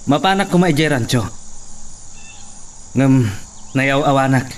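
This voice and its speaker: An actor playing an anxious young farm worker in a radio drama. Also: male voice, medium pitch, measured pace, lightly acted. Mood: urgent.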